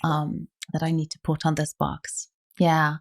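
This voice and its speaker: A woman with a foreign accent hosting a podcast interview, speaking in a thoughtful, empathetic, measured way. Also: low voice, completely flat delivery, gravelly. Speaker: podcast host. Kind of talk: reflective interview conversation.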